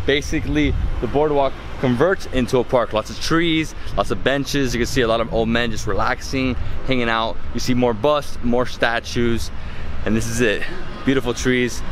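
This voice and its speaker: A man's voice: mid-range voice, steady tone, moderate pace, slight background noise. A man in his thirties hosting a travel vlog, chatty and enthusiastic as he walks through town and tastes food. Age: 30s. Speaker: travel vlogger host. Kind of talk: casual travel vlog narration. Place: outdoors on city streets and inside shops and bars.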